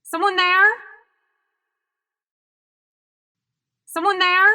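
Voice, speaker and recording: Actress as a high, bright, exasperated young woman. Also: little pitch movement, normal speed, no echo, heavily acted. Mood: nervous, calling out. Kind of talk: audio drama acting.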